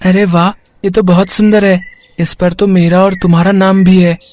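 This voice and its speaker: Cast a man voicing a gentle disguised boy-god, warm and encouraging, higher register. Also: flat delivery, normal speed, natural voice. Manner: kind, encouraging.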